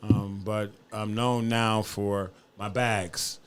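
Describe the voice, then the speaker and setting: A man's voice: fairly low pitch, little pitch movement, slow, smooth. A man from upstate New York talking casually as an interview guest, using slang and repeating his phrases. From Buffalo, New York, United States. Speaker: podcast guest, fashion designer.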